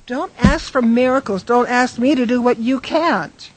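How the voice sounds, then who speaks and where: A woman acting the part of a touchy, playful wife in a radio drama, with a husky voice. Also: a little high, measured pace. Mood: angry, defensive. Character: touchy, playful, anxious wife of a bar owner.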